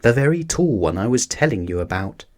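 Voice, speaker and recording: A man's voice: mid-range voice, big pitch swings, a little fast, a little mellow, lightly acted. An earnest, eager little bear from a children's storybook, as a male narrator puts him on. He speaks courteously and takes everything sincerely. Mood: curious, admiring.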